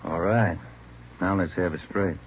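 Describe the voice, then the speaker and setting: A tough police detective in vintage radio drama. He has a lowish, rough husky voice and a firm, goading delivery, on a dull recording. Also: steady tone, a little slow. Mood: firm, needling. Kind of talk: 1950s radio drama dialogue.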